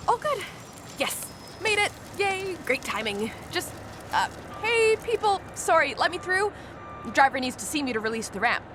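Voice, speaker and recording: A woman's voice: very high voice, very animated, moderate pace, background noise. A woman playing an upbeat, impatient young wheelchair user in a radio play, chatting in perky slang and muttering to herself. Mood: urgent. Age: young adult. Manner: perky, slangy, talks to self. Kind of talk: naturalistic radio drama acting.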